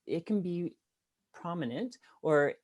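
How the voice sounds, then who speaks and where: A woman co-hosts a podcast interview with a notably deep, low voice. She speaks in a measured, reflective way, pausing on fillers as she frames her questions. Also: expressive, a little mellow, highs cut off. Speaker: podcast co-host.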